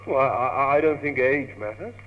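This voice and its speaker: A man's voice: medium pitch, normal speed, natural voice. Stammering lovestruck young British man , acted out. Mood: eager. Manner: stammering, earnest, gushing. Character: lovestruck, naive, eager young gentleman, David. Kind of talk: old British radio comedy acting. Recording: tinny, hissy, humming old radio tape, reverberant.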